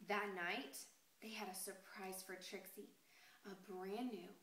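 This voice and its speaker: A woman teacher reading a picture book aloud to young children in an expressive, deliberate storytelling way, pausing to address the class. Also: medium pitch. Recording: echoey room with noticeable background noise.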